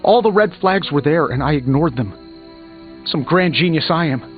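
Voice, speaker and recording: An actor playing a proud, guilt-ridden genius superhero in a video game, sarcastic, emphatic and hard on himself. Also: male voice, a little high, expressive, a little slow. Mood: anguished. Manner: sarcastic, self-reproaching, emphatic. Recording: muffled game audio under music.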